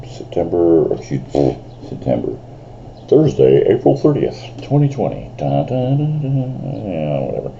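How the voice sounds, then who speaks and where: Man in his fifties, very deep, gravelly, dark tone, rambling vlog, dull lo-fi sound. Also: slight background noise. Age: 50s. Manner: rambling, lots of fillers, self-described big loud voice. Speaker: vlogger. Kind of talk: rambling casual vlog monologue. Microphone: camera on a screen in front of him.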